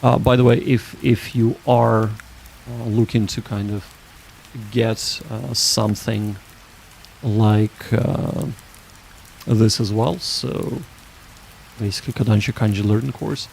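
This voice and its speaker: A man hosting a livestream, chatting casually with viewers in a halting, rambling way that often restarts sentences. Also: fairly low pitch, fairly even intonation, creaky, a little mellow, slight background noise, poor audio. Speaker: livestream host.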